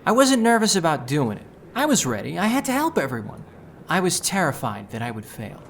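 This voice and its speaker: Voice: medium pitch, huge pitch swings, a little fast, a little hiss or hum. An actor playing an anxious, self-doubting, idealistic young thief who narrates their own story earnestly and intensely in an audio drama. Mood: earnest, self-mocking.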